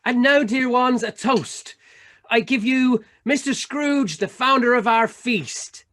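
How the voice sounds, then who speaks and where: Guy playing a kindly poor dad in a radio play, high voice, tender and earnest. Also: slow, fairly bright tone, exaggerated voice. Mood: warmly festive. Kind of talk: amateur radio play acting.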